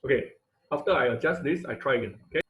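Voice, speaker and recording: A man giving an informal live technical lesson as an instructor, speaking haltingly, repeating phrases and often checking in with "okay" and "right". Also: medium pitch, lively intonation, a bit bright. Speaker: online class instructor.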